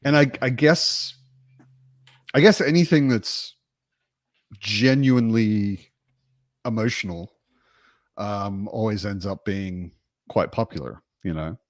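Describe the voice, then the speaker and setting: Low-voiced man, thoughtful book chat. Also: slow pace, pauses often, dry recording, clean studio sound. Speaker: book discussion participant. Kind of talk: casual book discussion.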